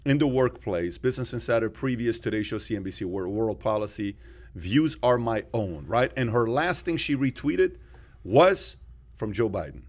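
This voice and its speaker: Male podcast host from Iran, conversational and opinionated, medium pitch, arguing through questions and personal anecdotes. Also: lively intonation, moderate pace. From Iran, later Germany and America.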